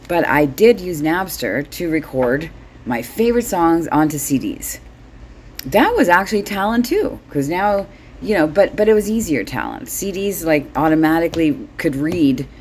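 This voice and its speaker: Middle-aged woman, deep register, blunt casual comic monologue, some background noise. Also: a little mellow. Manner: blunt, sweary, wry. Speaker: solo host.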